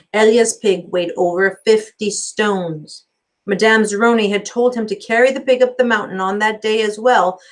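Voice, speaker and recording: A woman narrating a children's novel aloud as a teacher would, with expressive storybook delivery. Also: medium pitch.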